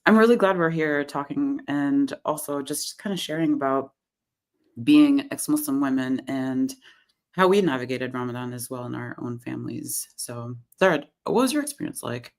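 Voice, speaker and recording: A woman co-hosts an online talk and speaks in an easygoing, laid-back way. Her voice is notably low for a woman. She talks over a video call. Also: expressive, normal speed, darkish tone. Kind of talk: casual conversation.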